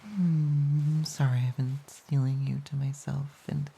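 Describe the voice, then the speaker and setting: A woman playing a playful, pouty, affectionate girlfriend in an intimate romantic audio roleplay, speaking softly and hesitantly with a breathy voice. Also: sits low, steady tone, slow, heavily acted. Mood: tender, reassured. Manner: soft, giggly, hesitant, cooing.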